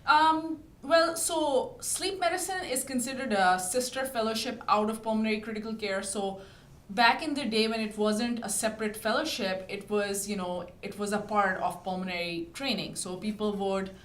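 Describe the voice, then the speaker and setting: A woman in her thirties, from Pakistan, speaking in a mid-range voice. She talks in a calm, explanatory way, with frequent "um" and "you know". The studio gives her voice a noticeable echo. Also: mid-range voice. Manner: measured, explanatory, many fillers. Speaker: physician guest. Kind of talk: interview answers. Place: podcast studio.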